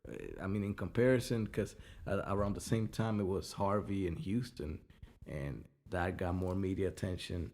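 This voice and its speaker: A man's voice: low voice, steady tone, moderate pace, darkish tone. A man with a Puerto Rican Spanish accent co-hosting a podcast, chatting casually and rambling, often repeating words as he restarts. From Puerto Rico. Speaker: podcast co-host. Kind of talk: casual chat.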